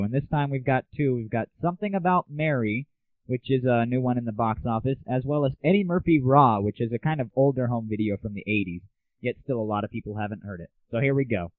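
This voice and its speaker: A man's voice: medium pitch, brisk, no echo. A man hosting a comedy audio show in a casual, crude, sarcastic rant. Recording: dry, muffled narrow-band audio.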